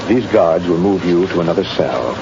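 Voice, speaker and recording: A man's voice: deep voice, lively intonation, moderate pace. A man playing a courteous, solemn prison official in an old-time radio drama. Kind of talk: 1930s-40s radio acting. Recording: muffled, noisy old radio broadcast recording.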